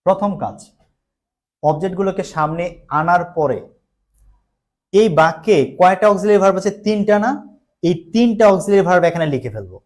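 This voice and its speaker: Male grammar tutor, Bangladeshi accent, somewhat high-pitched, explains in repeated small steps and often checks understanding. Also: expressive, brisk, long pauses, darkish tone. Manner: repetitive step-by-step explaining with frequent check questions; mixes in English terms. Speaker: English grammar teacher. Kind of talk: live online grammar lesson.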